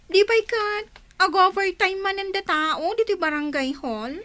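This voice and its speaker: A woman with an Ilocano accent playing a squeaky, grumbling but compliant village secretary in a comedy radio drama. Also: unhurried, fairly bright tone. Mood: grumbling, reluctant. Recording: clearly noisy recording.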